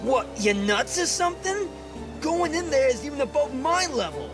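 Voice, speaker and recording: An actor playing a brash, streetwise flying sidekick in an audio drama, cocky and loyal, full of folksy slang. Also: male voice, very high-pitched, big pitch swings, moderate pace, loud music behind the voice. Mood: worried.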